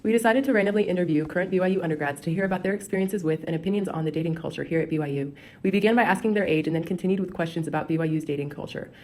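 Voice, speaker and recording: A young woman narrating a student video in a brisk, scripted voice-over. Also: on the low side, darkish tone.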